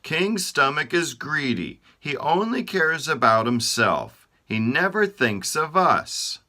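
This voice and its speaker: A man putting on peevish, hungry body parts from a children's storybook, whining loudly in a high voice. Their complaints come out pitched well above a man's low speaking voice, with an animated, sing-song rise and fall. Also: slow pace, exaggerated voice. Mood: whiny. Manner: whiny, raised voice, louder than the narration.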